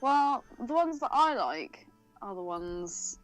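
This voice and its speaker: A woman's voice: fairly high pitch, sing-song, slow, soft background music, low-quality recording. A woman co-hosting a podcast in casual chat, with a blunt, irreverent, teasing manner. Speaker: podcast co-host.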